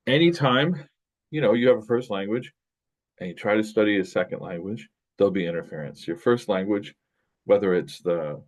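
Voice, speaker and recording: A middle-aged American man giving a recorded college linguistics lecture, talking loosely with many fillers and restarts. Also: fairly low pitch. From United States. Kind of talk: recorded lecture over screen share. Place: indoor room at a desk.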